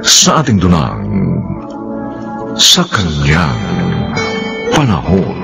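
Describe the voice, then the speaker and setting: Very deep, slow, solemn Filipino man. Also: wildly swooping pitch. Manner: solemn, formal. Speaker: drama announcer and narrator. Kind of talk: dramatic radio drama narration. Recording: loud music under the voice. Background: loud music.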